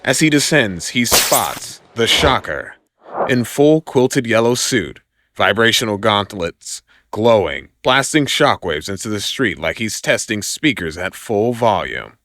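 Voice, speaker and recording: Slow, animated male action narrator. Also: on the low side, no echo. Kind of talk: dramatic scripted audio comic narration.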